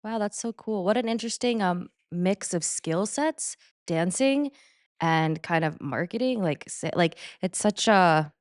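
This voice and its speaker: A middle-aged woman hosts a fitness podcast with an upbeat, chatty interviewing manner. Her voice sits a little low for a woman. The recording is dry and close, with no room echo. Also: lively intonation, a little slow. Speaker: podcast host. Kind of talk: scripted intro then casual interview. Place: New York City.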